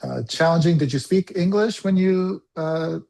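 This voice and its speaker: A man's voice: a little high, normal speed, vocal fry, dark tone. A man hosting a conversational interview, friendly and searching for his words with many fillers. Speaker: interview host.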